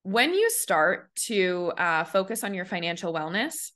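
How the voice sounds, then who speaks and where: A woman's voice: fairly low pitch, big pitch swings, a little slow, a bit bright. A young woman hosting a podcast as a money coach, talking casually with lots of "like" fillers and acting out the voices she quotes. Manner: chatty, many "like" fillers, acts out quoted voices.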